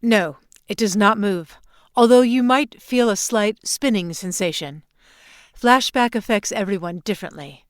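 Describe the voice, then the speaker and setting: A woman's voice: medium pitch, unhurried, no echo, studio quality, natural voice. A woman acting in an audio play as a composed, mysterious recruiter who speaks formally and precisely. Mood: calm, precise. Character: composed, formal, mysterious woman running secret time-travel missions.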